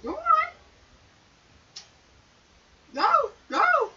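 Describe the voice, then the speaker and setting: A woman's voice: very high voice, sing-song, drawn-out words, highs cut off, noisy background. A young woman chatting casually on a game livestream in a playful, goofy way, recorded in her bedroom. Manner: playful, goofy, breaks into song. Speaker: game streamer.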